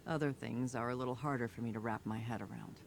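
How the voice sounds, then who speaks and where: A male actor plays a resolute military commander in a video game story. His voice sits at a middle pitch and sounds earnest and burdened. Some ambient noise runs behind him. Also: fairly even intonation, fast talker, gravelly, lightly acted. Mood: reflective. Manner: earnest, firm.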